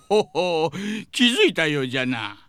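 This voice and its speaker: Bright, animated jovial old man. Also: a little high, unhurried, smooth, dry recording. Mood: jovial, coaxing. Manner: old-fashioned elderly speech, hearty. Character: jovial old man, folksy bit-player inviting company. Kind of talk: Japanese comedic audio drama acting.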